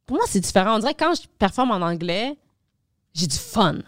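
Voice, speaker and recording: A woman with a Québécois French accent chats on a podcast, spinning loose, lively stories sprinkled with swearing and casual fillers. Her pitch sits mid-range for a woman. The recording is dry and close, with no room sound. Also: brisk, dry recording. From Montreal, Quebec. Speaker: stand-up comedian and podcast guest.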